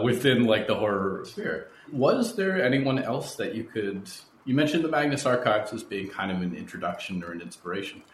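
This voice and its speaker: A man's voice: on the low side, a little hiss or hum. A man hosting a conversational interview, speaking in articulate, thoughtfully phrased questions and remarks. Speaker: podcast interviewer, host. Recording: roomy echo, clear background ambience.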